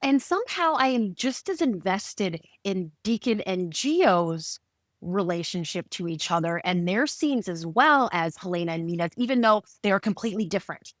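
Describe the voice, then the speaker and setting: A woman's voice: medium pitch, animated, moderate pace, no room sound, studio quality. A woman hosting a casual podcast interview in a chatty, enthusiastic way. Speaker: podcast host interviewing show creators.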